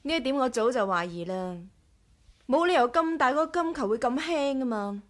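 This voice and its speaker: A quick-witted, caring woman adventurer in a 1980s radio drama. Her voice is high. She speaks Hong Kong Cantonese in a direct, sensible way. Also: lively intonation. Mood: curious.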